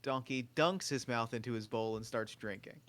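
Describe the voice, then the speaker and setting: A man's voice: mid-range voice, moderate pace, fairly bright tone, a little hiss or hum. A man narrating scripted stage directions at a comedic table read.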